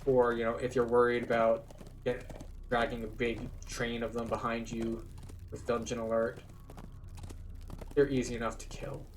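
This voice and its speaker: A man's voice: mid-range voice, monotone, normal speed, pauses often, far from the mic, slight background noise. A man giving casual, explanatory gameplay commentary as a tour guide. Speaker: video game tour guide and player.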